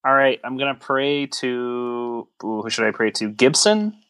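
A man's voice: medium pitch, a little slow, fairly bright tone, clear, resonant tone, no echo. A man co-hosting a casual podcast, joking in a sarcastic, teasing and mock-grandiose way. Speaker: podcast co-host. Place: recorded remotely over a voice chat app.

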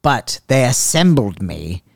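A man voicing a male Frankenstein-style monster with a very low voice, speaking slowly and deadpan with overly proper wording. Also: creaky, no room sound, clean studio sound, heavily acted. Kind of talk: improvised comedy podcast character.

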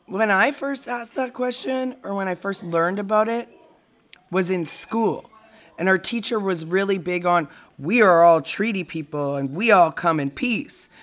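An actress plays an opinionated young woman in a naturalistic audio drama. Her medium-pitched voice is casual and plainspoken, as if talking with a close friend. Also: lightly acted.

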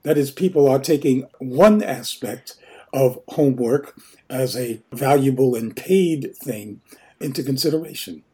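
A man co-hosting a radio show, putting points to a guest in a measured, deliberate way. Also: medium pitch, mellow, breathy edge. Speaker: radio co-host. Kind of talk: interview question.

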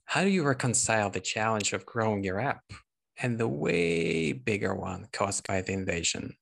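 A man's voice: on the low side, lively intonation, normal speed, vocal fry, fairly bright tone. A man hosting a podcast, introducing and interviewing a guest. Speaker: podcast host.

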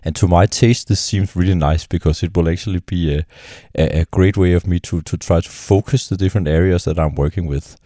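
A middle-aged man talks through his solo podcast at home, his voice husky after a weekend of singing. The tone is very low and mellow, with a dry, close sound. He thinks aloud, pausing and restarting his sentences, and faint music sits in the background. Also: dry recording. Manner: halting, with many restarts and filler sounds. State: voice lowered and husky from a weekend of singing and late nights. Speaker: podcast host. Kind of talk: casual solo podcast monologue. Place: at home on the couch in his apartment.

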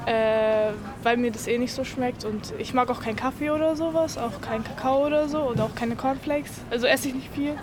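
A woman's voice: fairly high pitch, fairly quick, hardly any pauses, crowd noise. A woman giving casual, spontaneous answers as a passer-by in a street interview. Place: outdoors on a city street. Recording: noisy urban street with traffic.

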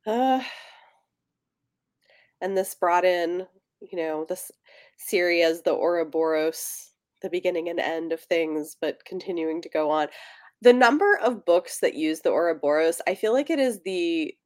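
A woman with a slightly low voice talks in a dry, close recording. She co-hosts a casual book chat, feeling her way through thoughts and revising her phrasing as she speaks. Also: measured pace, darkish tone, no room sound. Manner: hesitant, self-correcting, tentative phrasing. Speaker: podcast co-host.

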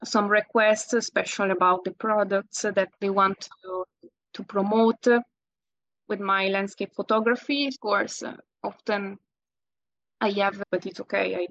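A woman in her thirties with an Italian accent, answering interview questions in halting English with many restarts. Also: mid-range voice, steady tone, fairly bright tone, dry recording, rough recording. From Italy. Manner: halting, many restarts and fillers, laughs often. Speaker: guest, landscape photographer.

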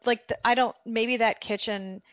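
A woman's voice: fairly high pitch, moderate pace, dry recording. Chatty woman podcast co-host. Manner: chatty, animated, laughs easily. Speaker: podcast co-host, travel agent. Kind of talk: casual podcast chat. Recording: dull, dry podcast audio.

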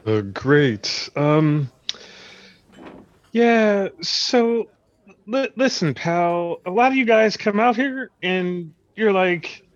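A man's voice: high-pitched, big pitch swings, very slow, no echo, lo-fi sound, exaggerated voice. A man playing a condescending boss at a wizard outpost in an improvised comedy sketch, stammering and repeating himself sarcastically. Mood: dismissive.

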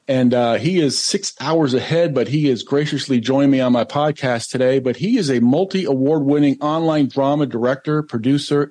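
Mid-pitched male podcast host. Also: a little mellow. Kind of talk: casual podcast introduction and interview.

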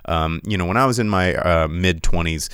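A man's voice: sits low, normal speed, clear, resonant tone, dry recording, studio quality. A man in his thirties hosting a podcast, chatting casually and sarcastically about movies and reading out viewer comments, with lots of fillers and restarts. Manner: rambling, animated, sarcastic. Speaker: podcast host. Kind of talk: casual live-stream chat about movies.

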